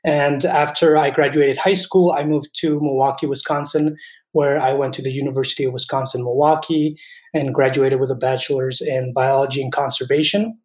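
A man's voice: mid-range voice, little pitch movement, moderate pace, a little mellow, echoey room. A man in his twenties from Colombia, a veterinary student answering interview questions in an earnest, thoughtful way with frequent restarts.

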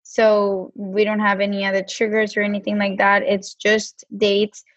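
A woman's voice: mid-range voice, monotone, normal speed, no room sound. Casting note: Mexican-accented woman, easygoing chatty guest, dry and slightly muffled recording. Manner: chatty, many "like" fillers. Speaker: guest, brand co-founder. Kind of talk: casual podcast chat.

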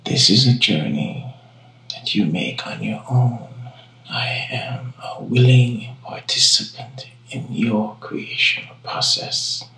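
A man guiding a meditation in a calm, hushed, often breathy delivery, in long unhurried phrases. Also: medium pitch. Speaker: guided meditation guide. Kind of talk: slow guided meditation narration. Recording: roomy echo with music behind. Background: singing bowl tones.

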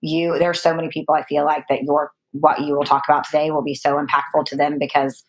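A woman co-hosting a podcast, gushing warmly and enthusiastically as she introduces a guest. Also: low voice, level tone, fast talker, low-quality recording. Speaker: podcast co-host. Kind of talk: casual podcast chat.

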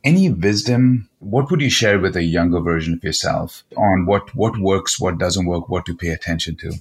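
A man hosts a podcast interview in a low, husky voice. He sounds eager and keeps thanking his guest with a lot of warmth in his words. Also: moderate pace. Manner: enthusiastic, effusive thanks. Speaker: podcast host. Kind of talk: interview host.

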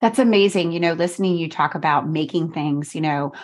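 A woman's voice: sits low, brisk. Effusive woman hosting an interview. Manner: effusive, praising, conversational. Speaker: interview host. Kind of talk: interview conversation.

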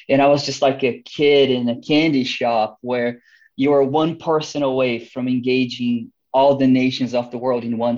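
A man's voice: mid-range voice, darkish tone, muffled recording. A man in his thirties from Brazil with a Brazilian accent, a missionary guest talking eagerly on a podcast with many restarts. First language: Portuguese. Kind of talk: podcast interview.

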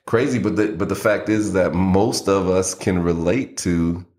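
A man with a very low voice co-hosting a podcast in easygoing conversation. He tells anecdotes, often restarting his sentences as he goes. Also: measured pace, a little mellow. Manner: storytelling, frequent restarts and fillers. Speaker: podcast co-host. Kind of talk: casual chat.

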